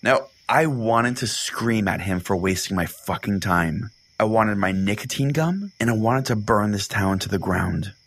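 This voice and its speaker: A man playing an irritated, foul-mouthed small-town pastor, telling strange tales deadpan on a comedy podcast. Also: lowish voice, measured pace, few pauses, breathy edge, exaggerated voice. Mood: wry, irritated.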